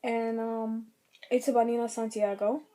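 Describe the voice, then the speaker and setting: A young woman with a slightly raspy throat, giving earnest, rambling commentary on her own vlog channel. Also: a little high, level tone, normal speed, mellow. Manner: earnest, rambling, many fillers. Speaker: vlogger.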